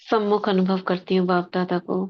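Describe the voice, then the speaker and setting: A woman leading a guided spiritual meditation, speaking in short, measured devotional phrases with pauses between them. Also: on the low side, flat delivery, lo-fi sound. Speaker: meditation leader.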